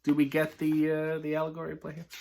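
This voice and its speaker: A man reading a children's picture book aloud, breaking off for sardonic, deadpan comic asides. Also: a little high, gravelly, a little hiss or hum.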